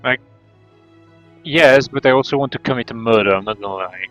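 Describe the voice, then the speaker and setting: Casting: male game streamer, casual and bright, slightly dull mic sound over faint music. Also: mid-range voice, fairly quick, no room sound. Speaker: game livestreamer. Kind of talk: casual livestream chat.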